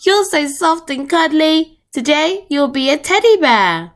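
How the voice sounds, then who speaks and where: High, doting storybook mummy, acted by a woman. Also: lively intonation, a little slow. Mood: doting, playful. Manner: affectionate, playful. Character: cheerful, doting young mother in a children's storybook.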